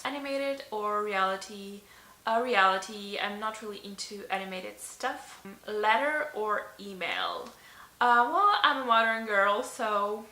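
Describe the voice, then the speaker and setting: A young Slovenian woman, whose first language is Slovene, runs through a tag video in a casual, chatty way. Her voice is bright and clear, with plenty of ums and likes. The sound carries a noticeable echo from the bedroom. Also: mid-range voice. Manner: chatty, many ums and likes, laughs often. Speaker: beauty vlogger. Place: bedroom, indoors.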